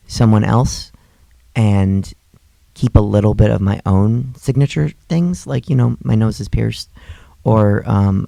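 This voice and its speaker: A man's voice: lowish voice, creaky, mellow, no room sound. A young adult man co-hosting a podcast, a comedian and drag performer, chatting casually with many fillers and restarts. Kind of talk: casual chat.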